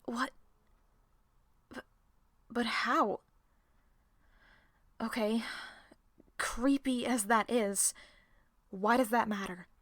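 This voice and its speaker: A woman playing a sharp-tongued, distrustful woman in an audio drama. Her voice is bright and fairly high, recorded close and dry. She is sarcastic, profane and quick to confront. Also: fairly even intonation, dry recording, heavily acted. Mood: shocked, demanding. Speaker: voice actor in an audio roleplay.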